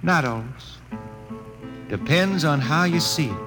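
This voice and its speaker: An actor playing a blind, hard-drinking wandering space balladeer, folksy and wistful in an old-time radio drama. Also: male voice, fairly high pitch, lively intonation, unhurried, music in the background. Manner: folksy, rustic. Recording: noisy vintage radio broadcast.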